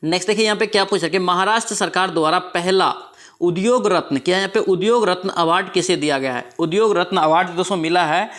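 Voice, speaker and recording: A man's voice: sits high, quick pace, a bit bright. A man with a Bihari Hindi accent and a sore throat from a cold, walking through exam quiz answers and repeating each one back as a question. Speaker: exam prep teacher. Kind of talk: quiz answer walkthrough lesson.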